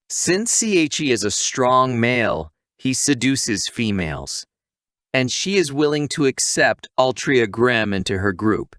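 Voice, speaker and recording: A man's voice: mid-range voice, fairly bright tone, dry recording, studio quality. A man narrating a scripted story recap, with casual asides to the listener.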